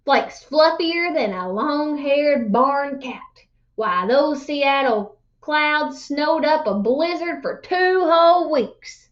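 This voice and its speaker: Woman reading as a folksy old storyteller, high-pitched. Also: animated, takes it slowly, lo-fi sound. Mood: wondrous, animated. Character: folksy old man spinning boastful tall tales. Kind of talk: children's storybook read-aloud.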